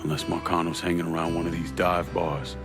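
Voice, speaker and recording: A hardened, determined American man from a video game voiced by a male actor. He speaks in a low register, curt and controlled, all business. Faint music sits underneath. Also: level tone, a little fast, natural voice. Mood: cool, determined. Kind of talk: video game cutscene acting.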